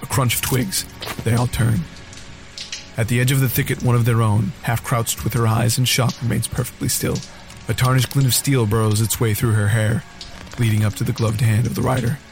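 A man's voice: medium pitch, little pitch movement, brisk, music in the background, rough recording. A man narrating a scripted horror western audio drama.

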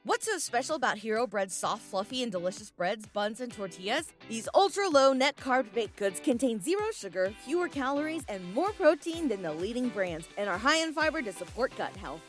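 Female commercial voice, very animated scripted read, faint music underneath. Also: fairly high pitch, a little fast, hardly any pauses. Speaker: advertisement announcer.

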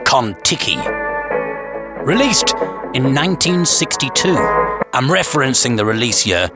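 A man hosting a podcast, narrating a scripted story with theatrical suspense, pauses and wry humour. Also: medium pitch, sing-song. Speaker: podcast host. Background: music.

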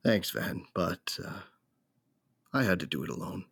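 Man acting a gravelly, grieving brother. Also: low-pitched, animated, a little slow, fairly bright tone, dry recording, studio quality, heavily acted. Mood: subdued. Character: subdued, grieving brother, gentle and weary. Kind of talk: audio drama dialogue.

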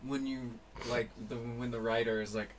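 A man hosting a casual interview, enthusiastic and quick to jump in with reactions. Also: mid-range voice, level tone, over a noisy crowd.

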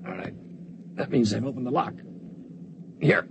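A man's voice: medium pitch, normal speed, creaky. A man narrating and playing the wry, commanding lead of a 1950s science fiction radio drama. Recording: noisy 1950s radio broadcast.

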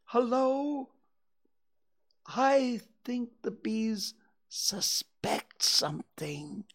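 A gentle, fretful toy bear speaks in a raised, drawn-out voice, pausing and stammering over his words, as a storyteller puts him on. The delivery swoops up and down in storybook fashion and never hurries. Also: medium pitch, big pitch swings, voice pushed up, heavily acted. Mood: anxious. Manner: slow, ponderous, hesitant with stammers. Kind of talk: storybook reading.

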